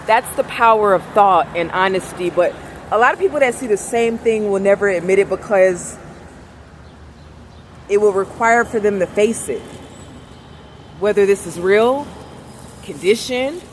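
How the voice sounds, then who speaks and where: A woman vlogger with a velvety, raspy voice, speaking softly in a rambling monologue outdoors on a city street. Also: mid-range voice, a little slow, long pauses, slight background noise. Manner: soft-spoken, rambling, trying to talk louder. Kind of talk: casual monologue.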